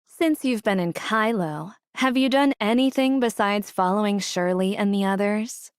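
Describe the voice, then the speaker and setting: A woman's voice: medium pitch, normal speed, smooth, no echo, clean studio sound, natural voice. Woman acting a cold, scornful schemer. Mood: cold, commanding. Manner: condescending, cutting, controlled. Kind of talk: scripted anime game dialogue.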